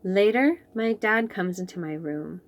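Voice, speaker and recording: A woman reading a children's picture book aloud in an expressive, animated storytelling manner. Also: medium pitch, measured pace, slight background noise.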